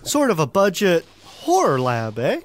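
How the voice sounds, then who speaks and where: Man acting an earnest, highish-voiced villain's sidekick. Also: wildly swooping pitch, unhurried, heavily acted. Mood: teasing. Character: earnest, agreeable male sidekick in villain gang. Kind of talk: comedic radio drama acting.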